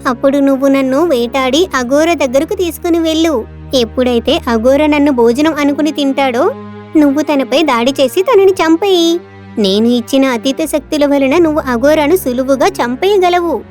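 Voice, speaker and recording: Female actor as animated magical goat: high voice, clever, reassuring, faint music bed. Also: moderate pace, lightly acted. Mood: confident plotting. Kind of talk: animated fable dialogue.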